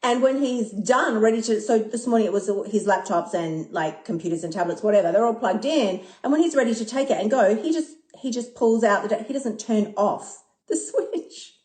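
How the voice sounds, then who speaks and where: A woman in her fifties who talks excitably, swears a lot and laughs often during a casual live stream. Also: a little high, moderate pace, hardly any pauses. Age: 50s. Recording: echoey room.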